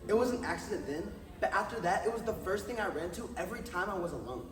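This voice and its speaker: A man's voice: high-pitched, lively intonation, fast. A young man playing an ashamed married addict in readers theatre, earnestly projecting his confession. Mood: regretful confession. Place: large carpeted conference room, far camera. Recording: echoey hall, distant microphone.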